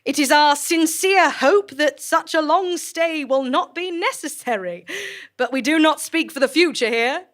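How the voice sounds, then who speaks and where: Female director of sinister research facility, high voice, formal, measured, officious, composed, audio drama speech. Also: fairly bright tone. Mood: reassuring, officious.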